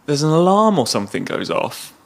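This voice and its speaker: A man's voice: fairly high pitch, very animated, a little fast. A man co-hosting a podcast, casually retelling a film's plot in a hesitant, loosely conversational way. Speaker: podcast co-host.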